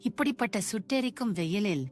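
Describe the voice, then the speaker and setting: An anxious village mother and wife, voiced by a woman speaks in a fretful, lamenting way. Her voice sits at a middle pitch, with soft music beneath it. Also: expressive, brisk, natural voice. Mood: distressed. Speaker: voice actor. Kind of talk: dubbed cartoon acting. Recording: music bed behind.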